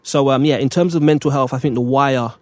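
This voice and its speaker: A man's voice: medium pitch, steady tone, a little fast, a little mellow, no room sound. A man co-hosting a casual talk, reflective and repeating phrases as he thinks. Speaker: podcast co-host. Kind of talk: casual chat.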